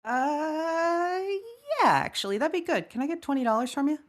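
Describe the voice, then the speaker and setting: A woman's voice: high voice, lively intonation, normal speed. A woman playing a wry, weary woman who gripes sardonically and swears freely in a conversational audio drama table read. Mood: casual. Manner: sardonic, swearing, exaggerated griping. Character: Lucretia Evans, wry, griping, weary woman football-game player.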